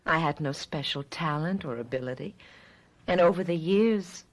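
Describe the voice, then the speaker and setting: An actress playing an earnest, world-weary immortal young woman in a radio drama, speaking in a deliberate, dramatic way. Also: low-pitched, close to an everyday voice. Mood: melancholy, earnest.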